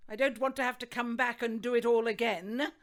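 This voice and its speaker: An actress playing a domineering, stout middle-aged wife in a comic radio play, nagging in a loud, strident, scolding voice. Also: female voice, fairly high pitch, fairly even intonation, a little fast, natural voice. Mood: bossy nagging. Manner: bossy, scolding.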